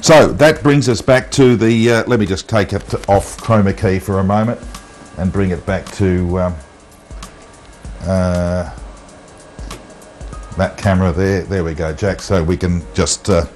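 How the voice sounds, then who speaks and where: An Australian man presenting an unscripted amateur TV walk-through, halting often with ums and uhs. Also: low voice, steady tone, a little mellow, faint music behind. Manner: halting, with long um and uh pauses. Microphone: wireless mic pack. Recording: noisy amateur TV transmission audio.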